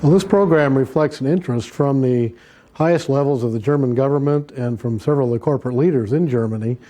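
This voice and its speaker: A man's voice: medium pitch, fast, dark tone. A man explaining a program in an interview, as a university administrator.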